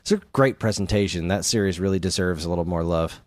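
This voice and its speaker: A man's voice: low-pitched, fast, dry recording. A man co-hosting a podcast, chatting casually and telling stories in a conversational way. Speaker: podcast co-host. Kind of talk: casual chat about video games.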